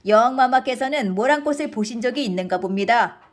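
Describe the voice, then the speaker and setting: A woman's voice: on the high side, expressive, a little fast, natural voice. A woman voicing a sceptical, grudging court minister in a children's storybook, pointed and challenging in manner. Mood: sceptical, disapproving. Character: sceptical, grudging male minister opposed to the queen.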